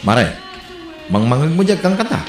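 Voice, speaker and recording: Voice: mid-range voice, very animated, normal speed. A performer with an Ilocano accent acting in a comedy sketch, chatty and gossiping. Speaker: comedic actor. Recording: echoey room with music underneath.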